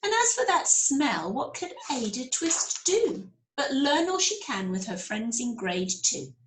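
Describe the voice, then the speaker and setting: A woman reading a picture book aloud to children as a school storyteller, with expressive, deliberate phrasing. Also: sits high, background noise. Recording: roomy, echoey sound.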